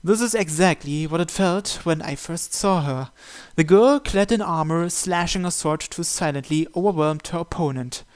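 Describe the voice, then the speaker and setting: Higher-voiced man narrating a visual novel. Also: moderate pace, hardly any pauses, clean tone. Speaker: channel host reading visual novel text aloud. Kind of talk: dramatic first-person scripted narration.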